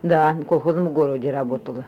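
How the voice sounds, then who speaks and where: A woman's voice: low-pitched, husky. Hesitant old village woman, lo-fi. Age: older. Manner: shy and hesitant, full of sighs and short halting answers. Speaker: interviewee, former stable hand. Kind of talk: hesitant spoken memories. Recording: lo-fi field recording.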